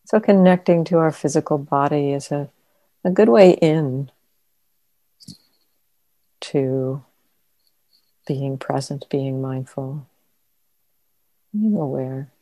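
A woman teacher leading a guided meditation in a gentle, unhurried manner, leaving long pauses. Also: low voice, dry recording, rough recording. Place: meditation center.

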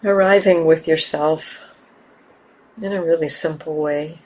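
Slow, even-toned woman guiding meditation. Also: sits low, poor audio. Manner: gentle, unhurried, with long pauses. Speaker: meditation teacher. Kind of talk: guided meditation. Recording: steady background hiss and room ambience.

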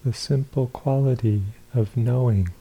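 A man giving guided meditation instructions as a teacher, calm and unhurried, with long pauses between short phrases. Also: mid-range voice, creaky, husky, muffled recording.

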